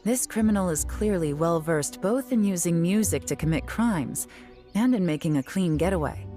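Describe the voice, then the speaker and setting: A cool, confident female tracker voiced by a woman for a video game. Her voice sits low and stays composed, assured and faintly teasing. Music plays behind her. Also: a little mellow, close to an everyday voice. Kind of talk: scripted video game dialogue.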